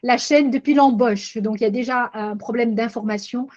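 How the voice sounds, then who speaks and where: High-voiced older woman hosting a webinar. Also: normal speed, a little mellow, highs cut off. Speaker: webinar host. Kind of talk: webinar discussion, summarizing.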